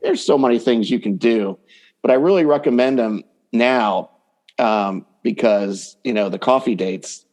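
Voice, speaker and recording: A man's voice: mid-range voice, unhurried, a little mellow. Man giving casual, enthusiastic advice. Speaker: dating coach, podcast guest. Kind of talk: casual conversational interview advice.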